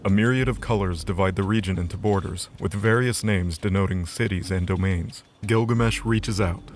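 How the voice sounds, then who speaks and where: A man's voice: low-pitched, moderate pace, a little hiss or hum. A man narrating a scripted audio drama as its storyteller.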